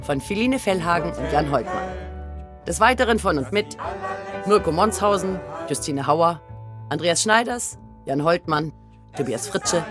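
Woman narrating radio play over loud music. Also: low voice.

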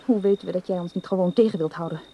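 An actress playing a determined, distrustful young heroine in a radio drama, challenging and insistent. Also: female voice, on the low side, very fast, warm tone, husky. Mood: defiant, accusing. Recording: faint background noise.

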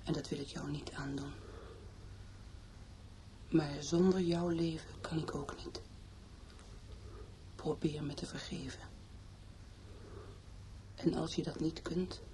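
An actress in a radio play playing a despairing young woman reciting a farewell letter in a tender, breathy half-whisper. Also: deep voice, mellow. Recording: noisy old radio play recording.

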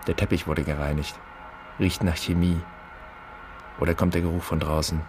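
Male actor as pensive, searching man for radio drama; low voice, clipped observations, slight noisy ambience behind. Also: little pitch movement, normal speed, close to an everyday voice. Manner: terse, observational, questioning. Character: pensive man inspecting a dead father's empty flat. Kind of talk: literary radio drama monologue.

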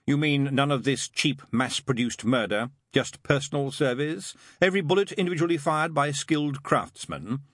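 A male narrator plays a sardonic, worldly demon in a comic fantasy audiobook. The voice is rough-edged and swings widely in pitch, recorded very dry. He drawls with weary, offhand sarcasm. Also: sing-song, moderate pace, fairly bright tone, no room sound. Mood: bitter, weary.

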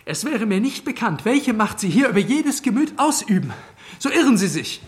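An actor playing a downtrodden schoolmaster struggling for authority in a radio drama, formal, strained and indignant. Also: male voice, high-pitched, very animated, normal speed. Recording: restless classroom crowd behind the voice. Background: crowd of schoolboys.

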